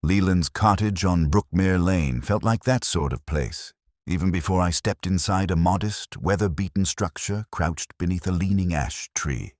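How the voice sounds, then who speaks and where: A man playing a melancholy, reflective middle-aged police inspector, narrating a detective story in measured, literary phrases. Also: sits low, a little mellow, dry recording, studio quality. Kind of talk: scripted first-person detective story narration.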